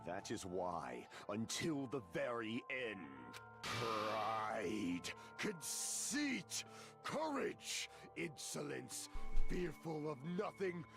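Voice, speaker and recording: A man playing a grandiose young villain in an anime game, pompous and theatrical, proclaiming himself a dark overlord. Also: mid-range voice, sing-song, extremely slow, vocal fry, over music, exaggerated voice. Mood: solemn pride. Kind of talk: anime video game voice acting.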